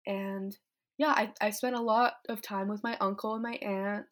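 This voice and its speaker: A woman's voice: medium pitch, steady tone, normal speed. A fifteen-year-old young woman from Vietnam reflects casually and candidly on her life, thoughtful, often dropping in "like" and "you know". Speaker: teenage climate activist.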